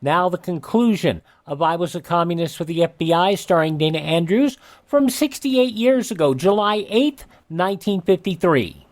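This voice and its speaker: A woman's voice: on the low side, animated, normal speed, few pauses. A woman reading a scripted broadcast announcement as a radio programme announcer.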